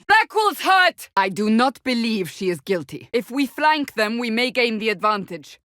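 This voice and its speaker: A woman's voice: medium pitch, a bit bright, no room sound, clean studio sound, natural voice. An actress voicing a stern, devout warrior woman in a fantasy video game, speaking in a formal, blunt, commanding way. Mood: shouting battle alarm.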